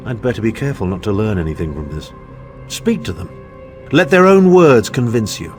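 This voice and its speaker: A man's voice: fairly low pitch, very animated, fairly quick, darkish tone, faint music behind. An actor playing an impatient, haughty, self-serving male mage in a fantasy game, with a sardonic, pompous, clipped delivery. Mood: wry, grave.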